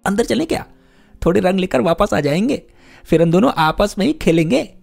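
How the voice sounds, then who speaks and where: A man's voice: high voice, lively intonation, a little fast, creaky, a little mellow, soft background music, voice pushed up, exaggerated voice. A man voicing a poor, wistful little boy in a story, plaintive and pleading. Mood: eager.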